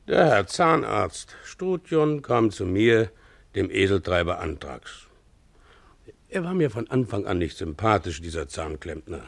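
Poor pious donkey driver, sanctimonious grumbler, lively pitch swings, gravelly edge, resentful, radio play. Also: male voice, unhurried, no echo, exaggerated voice. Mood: resentful, disdainful. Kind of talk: German radio play, character monologue and dialogue.

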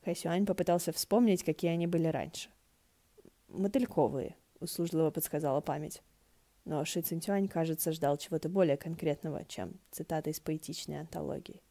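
A woman's voice: low-pitched, fairly quick, vocal fry, warm tone. A woman narrating a story as an audiobook reader. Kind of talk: narrating fiction, acting out dialogue.

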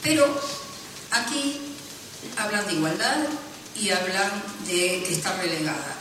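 A woman speaks in a council debate, firm and earnest, in a mid-pitched voice. She is distant from the mic. The hall echo is strong and noise lingers behind her. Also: lively intonation, a little slow. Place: council chamber.

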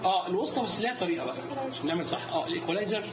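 A man's voice: high voice, rapid-fire, crowd noise. A man with an Egyptian Arabic accent teaching a live class. He keeps quizzing his students as he goes. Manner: lively, quizzes the students often. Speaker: instructor. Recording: dull lo-fi recording with steady noise.

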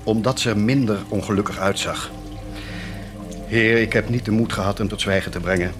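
An actor playing a devout, anguished parish priest in an earnest, pleading radio drama manner. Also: male voice, lowish voice, steady tone, brisk, music in the background, natural voice. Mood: penitent. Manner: earnest, pleading, fervent declamation. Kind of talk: theatrical radio drama acting.

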